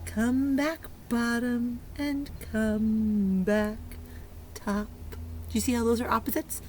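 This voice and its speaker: Woman, high-pitched, very slow pace, playful sing-song preschool teacher, talking to little kids, some background noise. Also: steady tone, a little mellow. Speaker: early learning preschool teacher. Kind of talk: children's lesson with sung fingerplay rhymes.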